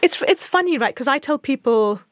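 Lively woman hosting a podcast. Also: high voice, a little fast, dry recording, studio quality. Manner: enthusiastic, laughs easily. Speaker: podcast host, engineer. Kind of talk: casual podcast conversation. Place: studio.

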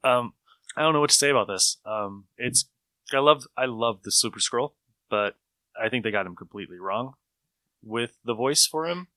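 Casting: male podcast host, forward bright tone, mid pitch, dry close sound, chatty. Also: expressive, moderate pace, dry recording. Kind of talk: casual discussion.

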